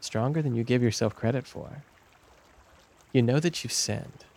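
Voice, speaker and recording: Male actor as young camp counsellor, average male pitch, earnest, reassuring, halting, naturalistic audio drama. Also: a little mellow, close to an everyday voice. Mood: earnest, preachy. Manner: hesitant, reassuring.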